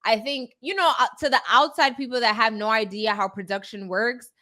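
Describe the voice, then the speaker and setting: A woman with a forceful, bright voice pitched a little high, chatting as a podcast co-host. She is direct and opinionated, making her case conversationally and often ending a point with a quick "right?". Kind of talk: casual opinion discussion.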